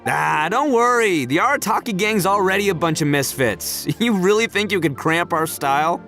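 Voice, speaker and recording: A brash young oni gang leader in a video game. He is boisterous and easygoing, with a fairly high voice that swings widely. Music runs underneath. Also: lively intonation, normal speed, sharp tone, heavily acted. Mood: jovial, proud.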